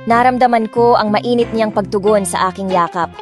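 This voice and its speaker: A young woman narrating a first-person romance audiobook, expressive and switching between two languages. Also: mid-range voice, normal speed, over music.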